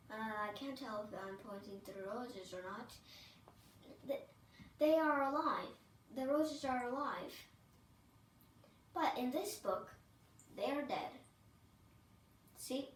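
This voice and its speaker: A child with a high voice reads a storybook aloud, drawing words out expressively and adding asides. The pace is slow, and the recording is echoey, picked up from a distance. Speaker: storybook reader for a channel. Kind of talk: reading a picture book aloud with asides. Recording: distant mic, roomy echo, faint noise.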